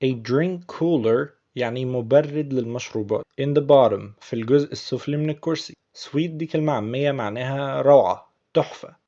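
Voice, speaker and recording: A man's voice: mid-range voice, normal speed, few pauses, mellow, highs cut off. A man with an Egyptian Arabic accent, patiently explaining English phrases word by word as a teacher.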